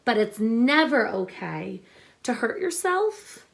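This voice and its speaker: A woman teacher reading a picture book aloud to children, expressive and instructive, pausing to explain and ask questions. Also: a little high, gravelly. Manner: deliberate, instructive, addressing young listeners with questions.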